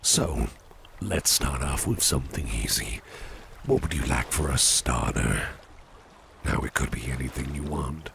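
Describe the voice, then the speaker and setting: A man playing a genial, attentive boss in an ASMR roleplay, unhurried and coaxing, often repeating his phrases. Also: a bass voice, expressive, a bit bright, heavily acted. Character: genial, attentive male boss, courting his dinner date. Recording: clearly noisy background.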